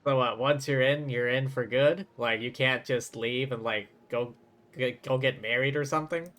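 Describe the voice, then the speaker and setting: A man's voice: medium pitch, normal speed, a bit bright. A man giving casual, reactive commentary as a live game streamer.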